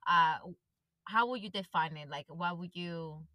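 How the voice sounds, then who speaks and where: Lowish bright voice, woman from ecuador hosting a podcast, chatty, dry close sound. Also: unhurried, no room sound, highs cut off. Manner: chatty, many fillers, mixes in Spanish phrases. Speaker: podcast host. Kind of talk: casual interview chat.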